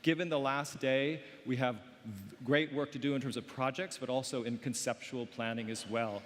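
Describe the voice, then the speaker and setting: A man's voice: mid-range voice, steady tone, moderate pace. A man with a mid-range voice introduces panel speakers in a loose, informal way with some "uh" and "um" fillers. His voice rings out with a long hall reverb. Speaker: event host introducing speakers. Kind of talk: introductory remarks.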